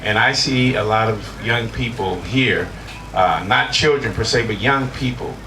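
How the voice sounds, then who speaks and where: A man moderating a panel in a slow, deliberate way, inviting questions. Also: on the low side, sharp tone. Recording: distant echoey room with clear noise.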